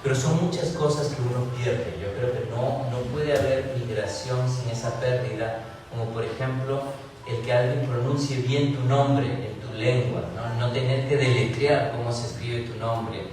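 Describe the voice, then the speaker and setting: A man's voice: mid-range voice, steady tone, normal speed, very echoey, far from the mic, background noise. A man from Peru, an author on a literary panel, talking in a reflective, thinking-aloud way with frequent restarts. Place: large university hall.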